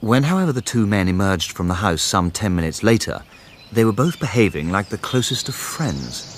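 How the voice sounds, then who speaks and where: An actor playing a loyal, mildly put-upon gentleman companion who narrates a radio mystery drama. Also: lowish voice, very animated. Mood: measured storytelling. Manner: measured storytelling, hesitant and long-suffering in dialogue.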